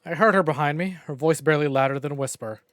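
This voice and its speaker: A man's voice: a little high, fast talker, dry recording, clean studio sound. Man narrating a visual novel aloud. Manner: dramatic expressive narration. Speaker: streamer. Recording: game music playing behind.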